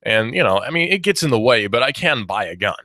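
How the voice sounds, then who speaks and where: A man's voice: medium pitch, very animated, fast, sharp tone, no echo, clean studio sound. A man in his twenties hosting a radio talk show, rambling through his opinions with fillers and restarts. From United States. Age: 20s. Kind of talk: casual talk radio monologue.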